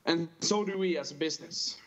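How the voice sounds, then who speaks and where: A man's voice: on the high side, brisk, a bit bright. A man in his early twenties with a Norwegian accent, earnestly giving a prepared conference presentation. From small island in northern Norway. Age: early 20s. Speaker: fishing tourism operator, panel speaker. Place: remote call into a conference. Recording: dull lo-fi video call audio.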